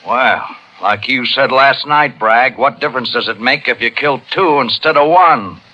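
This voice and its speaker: An actor playing a hard, cynical policeman in 1950s radio crime drama, with deadpan, sardonic tough talk. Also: mid-range voice, normal speed, close to an everyday voice. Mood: cold, sardonic. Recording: noisy muffled old AM radio broadcast.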